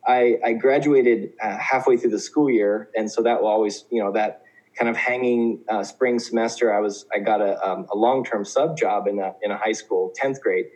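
Hesitant American man, mid-pitched voice. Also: fairly even intonation, normal speed, darkish tone, rough recording. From Oklahoma, USA. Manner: hesitant, many fillers and restarts. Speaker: guest teacher. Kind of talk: conversational interview.

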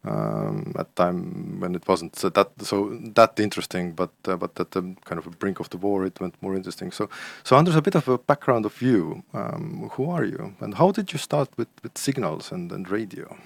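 A man's voice: deep voice, very animated, normal speed, vocal fry, few pauses, no room sound. A man hosting a podcast in casual chat, often repeating words as he searches for the next one. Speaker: podcast host.

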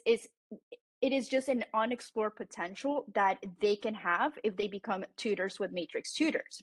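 A woman's voice: medium pitch, no room sound. A woman who grew up Colombian American with Spanish as her first language, speaking earnestly as a founder on a podcast and often repeating words as she restarts. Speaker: founder and CEO of a tutoring company. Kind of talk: podcast guest explaining her business.

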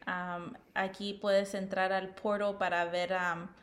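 A young woman from California co-hosting a podcast, chatting in a relaxed, unhurried way. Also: medium pitch. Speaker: podcast co-host. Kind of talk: casual chat.

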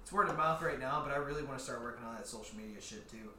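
A man's voice: mid-range voice, very fast, pushed and bright, roomy sound. A man co-hosting a podcast, chatting casually in a laid-back way with some swearing. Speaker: podcast host. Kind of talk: casual chat.

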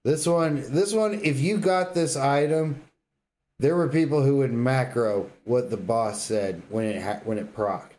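Mellow-toned man, blunt and opinionated. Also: mid-range voice, measured pace. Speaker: podcast co-host. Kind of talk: casual gaming banter and debate.

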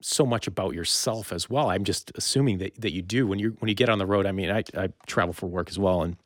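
A man with a fairly low voice speaks as a podcast interviewer. His delivery is informal and exploratory, wandering through his thoughts with halting phrasing, in a dry, close recording. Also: dry recording. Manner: exploratory, halting, rambling questions. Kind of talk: casual interview conversation.

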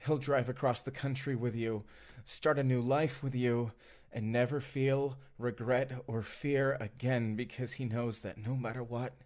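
A man's voice: medium pitch, fairly even intonation, measured pace, few pauses, darkish tone, lightly acted. A man acting as a devoted, sweetly awkward young romantic in an immersive audio roleplay, speaking in an earnest, hesitant, conversational way. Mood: dying, tender.

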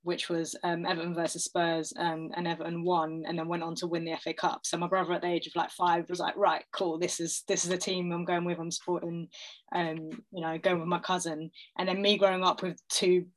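Guest: London woman in her thirties, lowish voice, chatty and self-deprecating, laughs a lot. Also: level tone, brisk, low-quality recording. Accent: London English. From North London, England. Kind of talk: casual interview chat.

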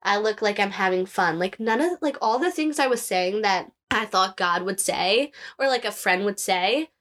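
A woman's voice: medium pitch, normal speed, a bit bright. Chatty young American woman with a lisp. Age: young adult. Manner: chatty, heavy use of "like". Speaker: podcast guest. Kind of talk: casual, candid chat.

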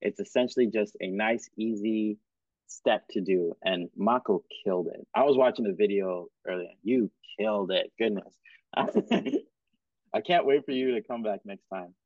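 Low-voiced man on a tinny line. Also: unhurried, dry recording. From Cameroon and Kenya. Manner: relaxed, laughs often, encouraging. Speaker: podcast host. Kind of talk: casual interview chat. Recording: tinny, dry phone-like sound.

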